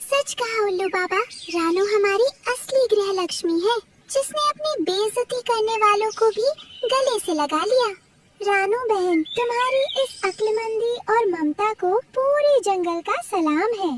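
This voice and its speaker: A woman's voice: very high voice, lively intonation, measured pace, a little hiss or hum, voice pushed up. A woman voicing an admiring female bird neighbor in a cartoon, earnest and full of praise.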